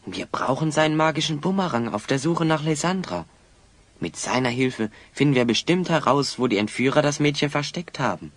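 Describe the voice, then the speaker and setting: A man's voice: a little high, animated, moderate pace, no room sound. A man playing a resolute, fair-minded hero in a children's radio play, speaking in a firm, articulate, commanding way. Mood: determined.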